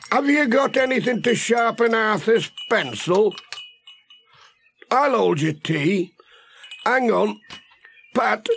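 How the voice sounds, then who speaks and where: A man's voice: high-pitched, gravelly, fairly bright tone, a little hiss or hum, voice pushed up, exaggerated voice. A man voicing a plain-spoken, wry countryman with a blunt, informal manner in a children's audiobook. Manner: blunt, informal rustic talk. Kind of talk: children's audiobook character reading.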